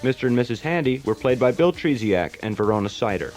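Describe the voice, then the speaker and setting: A man's voice: mid-range voice, animated, quick pace, darkish tone. A man reading scripted closing credits as a radio program announcer. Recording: faint music behind the voice.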